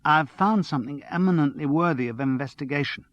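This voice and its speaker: A male narrator doing a bubbly young woman, tipsy and wound up, chattering away in a raised, bright voice. She rushes from one thought to the next. The storybook recording is dry and a little dull. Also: on the high side, dry recording, pitched above the performer's own voice, close to an everyday voice. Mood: giddy. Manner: breathless, chattering, excitable. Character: giddy, tipsy young woman studio manager.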